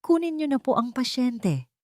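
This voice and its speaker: Woman playing a panicky, high-pitched scheming fiancée. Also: sing-song, normal speed, a little mellow, no room sound, clean studio sound. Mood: panicked. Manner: commanding, urgent. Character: scheming, panicking wealthy young fiancée, a villainess.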